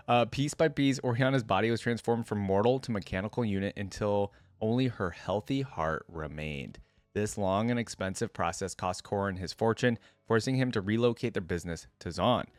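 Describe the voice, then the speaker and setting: A man hosting a reaction video, switching between casual commentary and reading lore aloud, thinking out loud as he goes. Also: lowish voice, moderate pace, no room sound, faint music behind. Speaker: YouTube reaction host.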